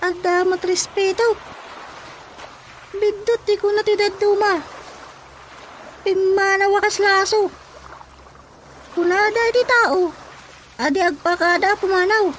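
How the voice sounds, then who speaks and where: A woman's voice: extremely high pitch, slight background noise. A woman voicing a cheeky talking pet dog that demands respect, speaking emphatically in a radio comedy drama. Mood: indignant.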